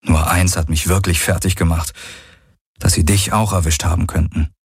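Casting: blunt, sardonic, weary male space adventurer for sci-fi audiobook dialogue, done by a male narrator, low-pitched, very dry recording. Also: fairly even intonation, normal speed, vocal fry, breathy edge, no echo, full character voice. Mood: downplaying, tender.

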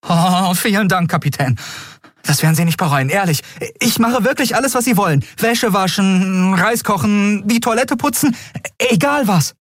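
A man's voice: sits high, moderate pace, no room sound, studio quality, pitched above the performer's own voice. A man voicing a nervous, clumsy young man of almost eighteen who pleads and eagerly tries to please.

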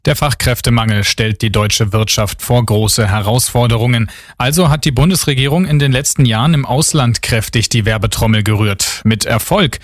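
A man reads the news on regional radio, measured and formal, his voice mid-pitched. The studio sound is dry and close. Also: no echo. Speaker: news anchor. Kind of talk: read news bulletin.

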